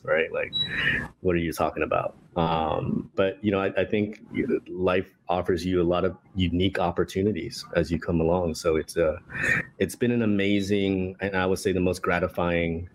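A man in his thirties with a very low voice chats loosely as an interview guest. He circles back on his words and leans on fillers. A faint background hiss sits under the recording. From Vietnam. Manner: relaxed, many restarts and fillers. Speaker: guest, tea company co-founder. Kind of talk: casual interview conversation.

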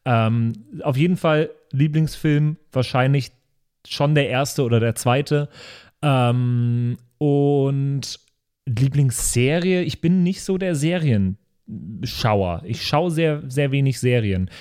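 A man hosting a casual podcast chat, talking in a halting, rambling, enthusiastic way. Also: mid-range voice, a little mellow, smooth. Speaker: podcast host.

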